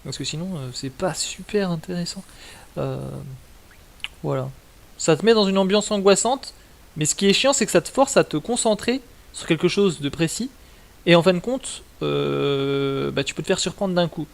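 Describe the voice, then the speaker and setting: A man's voice: high voice, moderate pace, gravelly. A man giving casual, slangy, mildly sweary commentary as a video game streamer. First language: French.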